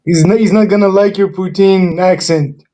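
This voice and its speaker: High-voiced man. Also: steady tone, warm tone. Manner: hesitant, halting, with many ums and restarts. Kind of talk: casual chat.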